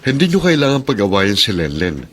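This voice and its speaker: A concerned Filipino older brother in a radio drama. His voice is on the low side and swings expressively as he earnestly makes peace. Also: big pitch swings, a little fast, music in the background. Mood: reassuring. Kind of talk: Tagalog radio drama acting.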